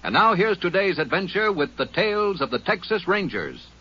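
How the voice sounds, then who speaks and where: A man announcing in a bright, lively old-time radio style, his pitch swinging up and down. The voice is slightly husky and comes through a muffled vintage broadcast. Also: normal speed. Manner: bright and upbeat, emphatic. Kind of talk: 1950s radio promo announcing.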